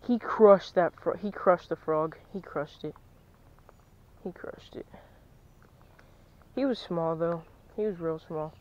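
A young man, vlogging as he fishes from a lake bank, chatty and excitable, dropping to a near-whisper at times. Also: a little high, slow, pauses often, muffled recording. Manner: chatty, excitable, drops to near-whisper while stalking fish. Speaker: fishing vlogger. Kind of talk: casual vlog narration. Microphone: chest-mounted action camera.

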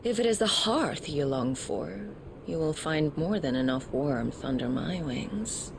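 Haughty villainess for a fantasy game: cruel, deliberate, wide swoops of pitch, clear effects noise behind. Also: female voice, animated, heavily acted. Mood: coolly disdainful. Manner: haughty, theatrical, deliberate phrasing. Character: haughty, cruel female warrior villain, taunting and imperious. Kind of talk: dramatic video game cutscene acting.

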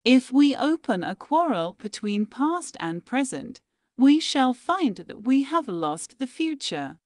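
A woman reading quotations aloud in a slow, measured, deliberate way, pausing between phrases. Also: sits high, sing-song, a little mellow, no room sound, clean studio sound. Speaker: quote reader. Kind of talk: slow scripted quote reading.

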